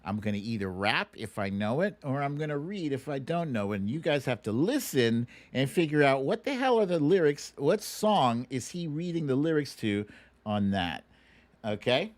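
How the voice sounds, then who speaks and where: Middle aged american guy doing a casual livestream, pitch jumps all over, dry close sound, opinionated, repeats stuff. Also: sing-song, moderate pace, no room sound. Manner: informal, opinionated, self-deprecating, often repeats words for emphasis. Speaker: livestream host.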